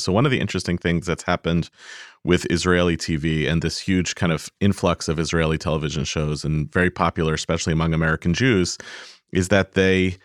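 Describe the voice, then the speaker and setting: Male interviewer, very deep voice, dry close sound, thoughtful and laughing. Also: no room sound. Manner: thoughtful, laughs often, stumbles into questions. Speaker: interview host. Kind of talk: conversational interview.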